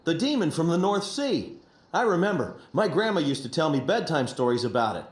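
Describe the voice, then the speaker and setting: An excitable young fighter pilot has a high, boyish voice with big swings in pitch. He talks informally as a game character, in an echoey room. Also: brisk. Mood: reminiscing. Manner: animated, informal. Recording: roomy echo.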